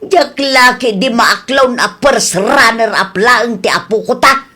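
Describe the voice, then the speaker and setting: An actress plays an indignant village woman in an Ilocano comedy radio drama. Her voice is fairly high, with an Ilocano accent. She complains and protests in a plaintive manner, as in a comic neighbourhood squabble. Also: slow, bright, forceful tone, exaggerated voice. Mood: indignant, defensive.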